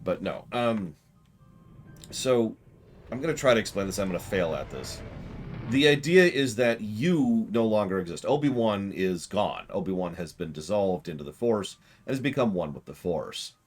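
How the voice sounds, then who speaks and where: A man's voice: on the low side, lively intonation, moderate pace, slight background noise. A man doing casual live stream commentary on a game, explaining his ideas at length and thinking aloud. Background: game music.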